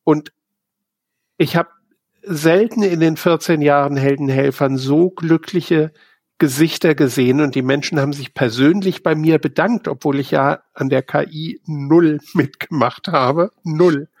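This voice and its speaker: Gravelly man with a dry close sound. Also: fairly high pitch, a little slow, a little mellow, no echo. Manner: earnest storytelling with hesitations. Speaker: business consultant. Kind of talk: casual chat.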